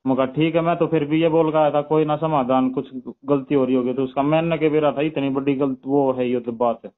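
A man's voice: a little high, fairly even intonation, a little fast. A young man with a Haryanvi accent, arguing on a recorded phone call and pressing his point with questions. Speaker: bride's brother in a family dispute. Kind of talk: argumentative phone conversation. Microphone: telephone.

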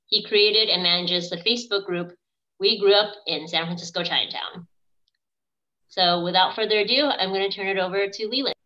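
A woman's voice: mid-range voice, moderate pace, bright, forceful tone. A woman in her thirties hosting a casual online event over a video call. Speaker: event host. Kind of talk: casual livestream hosting, reading out comments. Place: indoors on a video call with virtual background. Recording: dull, lo-fi video-call audio.

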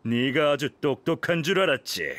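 An actor playing a smug, scheming desert thug in a video game, sneering and gloating. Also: male voice, fairly high pitch, sing-song, moderate pace, a bit bright, no echo. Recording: music behind the voice.

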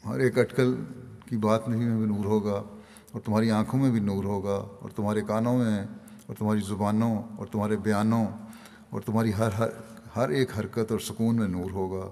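An older man with a Pakistani Urdu accent delivering a Friday sermon, reading from notes at a podium microphone in a large mosque hall in a measured, solemn manner. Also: fairly low pitch, fairly even intonation, dark tone. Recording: long reverb of a large hall.

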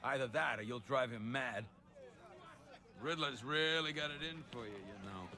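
An actor playing a shifty, talkative prisoner in a game, wheedling and making excuses. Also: male voice, medium pitch, moderate pace, crowd noise, lightly acted. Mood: wheedling, taunting. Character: shifty, pleading male captive informant, weaselly, talkative. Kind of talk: video game character acting.

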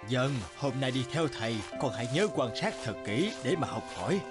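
A man voicing an elderly village herbal healer in a folk-tale cartoon, a wise, grave teacher with a measured, instructive manner. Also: medium pitch, very animated, lightly acted. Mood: wise, teaching. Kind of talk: animated folk tale dubbing. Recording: music under the voice.

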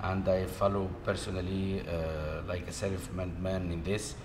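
A man's voice: sits low, level tone. Hesitant man in an echoey hall. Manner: hesitant, halting, with frequent "uh" fillers; non-native English with grammar slips. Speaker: interview guest. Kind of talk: conversational interview answers. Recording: echoey hall with background noise.

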